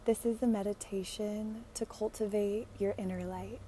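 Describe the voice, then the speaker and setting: A woman leading a guided meditation in a gentle, hushed, soothing way, leaving long pauses. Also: medium pitch, fairly even intonation, slight background noise.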